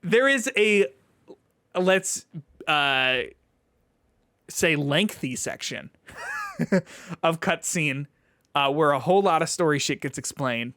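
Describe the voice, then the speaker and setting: A man's voice: a little high, lively intonation, slow pace, long pauses, bright, forceful tone, dry recording, clean studio sound. A man co-hosting a podcast, chatting casually about video games in an informal, hedging way peppered with "like". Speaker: podcast co-host.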